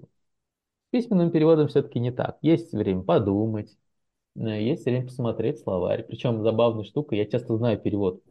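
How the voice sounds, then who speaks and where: Male translator guest, low and gravelly, loose anecdotal talk, muffled lo-fi audio. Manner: relaxed storytelling with many fillers and restarts. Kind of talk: casual interview chat.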